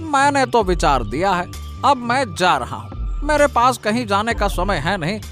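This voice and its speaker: A man playing a callous younger brother who schemes in a cartoon moral story, speaking haltingly. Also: high-pitched, sing-song, a bit bright, faint music behind. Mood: dismissive. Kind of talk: Hindi cartoon story dialogue.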